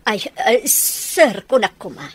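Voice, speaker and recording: A woman's voice: mid-range voice, very animated, slow, a bit bright, slightly rough, no room sound, exaggerated voice. An actress playing an indignant female household servant in a radio drama, scolding and outspoken.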